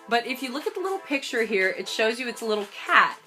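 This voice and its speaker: A woman's voice: on the high side, sing-song, fast, bright, forceful tone, soft background music, low-quality recording. A young adult American woman chatting casually to camera as she tells a personal story, bubbly, gushing and emphatic. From United States. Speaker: vlogger telling a personal story.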